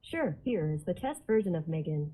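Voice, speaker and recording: A woman's voice: low voice, moderate pace, low-quality recording. Synthetic female smart-speaker voice. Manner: flat synthetic prompts. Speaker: voice assistant.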